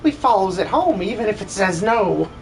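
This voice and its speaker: A man's voice: high voice, animated, moderate pace, slight background noise, poor audio. A man voicing a small, polite female game creature with quaint, broken grammar. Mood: eager.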